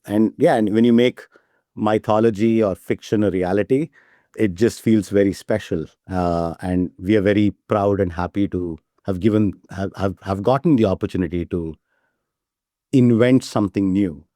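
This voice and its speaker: Upbeat man with a low, mellow voice. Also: normal speed, dry recording, clean studio sound. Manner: enthusiastic, repeats "right?" as a tag. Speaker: startup CEO, guest. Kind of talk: casual interview talk.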